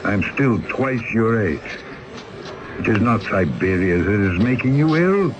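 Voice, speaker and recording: A man's voice: on the low side, big pitch swings, measured pace, noisy background. A middle-aged actor playing a worldly, fatherly male exile in a 1970s radio drama. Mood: coaxing. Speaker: older convict and storyteller.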